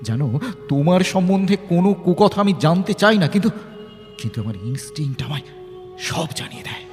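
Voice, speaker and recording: A man's voice: high voice, lively intonation, a little fast. A man playing a devoted, street-bred, self-made lover, protective and urgent, in melodramatic audio drama style. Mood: tender, brooding. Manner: melodramatic, urgent, swinging from hushed to forceful. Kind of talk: Bengali audio drama acting. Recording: long hall reverb, faint music behind.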